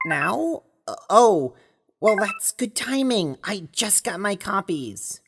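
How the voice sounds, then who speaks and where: Audio drama gamer girl, actress: playful, a bit scattered, animated pitch, slow pace. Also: creaky, noisy background, full character voice. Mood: casual curiosity. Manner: casual, hesitant, playful.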